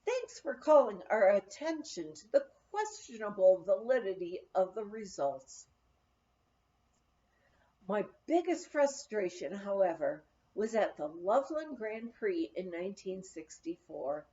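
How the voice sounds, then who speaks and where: A woman's voice: medium pitch, sing-song, unhurried, warm tone. A woman reading a memoir aloud as scripted narration, in a slightly dull recording. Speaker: audiobook reader.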